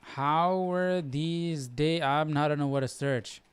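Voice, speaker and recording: Casual, slightly high-voiced man streaming. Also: measured pace, clear, resonant tone, no room sound. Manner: informal, thinking aloud. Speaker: host of a live Bible study. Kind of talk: casual livestream chat.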